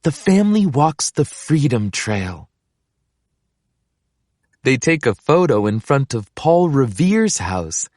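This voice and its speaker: Female storybook reader, unhurried delivery with lively pitch swings, recorded dry and close. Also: no echo. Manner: expressive, deliberate read-aloud delivery. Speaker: picture book reader. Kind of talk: scripted children's story read-aloud.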